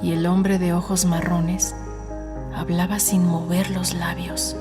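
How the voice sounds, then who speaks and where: A woman reading a novel as an audiobook narrator, in a measured, suspenseful storytelling manner. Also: fairly low pitch, level tone. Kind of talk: scripted dramatic narration of a novel. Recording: studio narration over a music bed. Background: background music.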